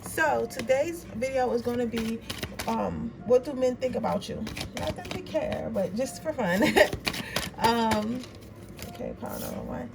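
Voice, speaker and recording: Raspy young woman, chatty tarot reader. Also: fairly high pitch, wildly swooping pitch, a little slow. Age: 20s. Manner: chatty, rambling and informal, with slang. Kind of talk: casual tarot reading chat. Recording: noisy room, voice a bit far from mic.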